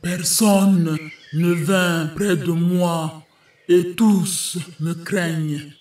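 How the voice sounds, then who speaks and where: A man voicing a mighty, lonely lion king in an animated African folktale, speaking solemnly and mournfully. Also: high voice, drawn-out words, slight background noise. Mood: sad, bitter. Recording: echoey, distant, over music. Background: music.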